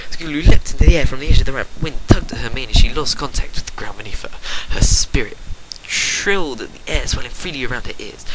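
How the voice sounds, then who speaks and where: A man reading fan fiction as a dramatic narration, theatrical and swinging between hushed and forceful, on an amateur home recording. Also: medium pitch, heavy background noise. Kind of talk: dramatic storybook-style narration.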